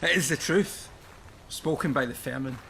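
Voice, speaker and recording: A man's voice: medium pitch, normal speed, creaky, sharp tone, slight background noise. A man playing a grim, stubborn old miner in a radio play, solemn and portentous, almost chanting his words. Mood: insistent.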